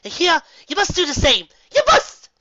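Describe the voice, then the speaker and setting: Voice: very high-pitched, wildly swooping pitch, moderate pace, bright, forceful tone, no room sound, muffled recording, pitched above the performer's own voice, exaggerated voice. A performer voicing a refined, fussy female unicorn with an affected, theatrical, genteel delivery. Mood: grandly dramatic.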